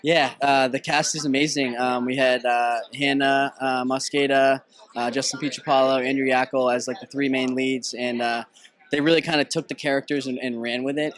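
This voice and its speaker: A young man from Boston, a writer-director, talking about his film with casual enthusiasm. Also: mid-range voice. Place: film festival red carpet, indoors. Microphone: handheld interview mic.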